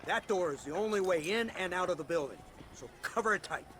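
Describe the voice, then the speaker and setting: A man's voice: high voice, lively intonation, brisk, noisy background. A man playing a firm, businesslike lawman giving instructions in a Western video game cutscene. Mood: firm command. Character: commanding, businesslike male lawman leading an ambush.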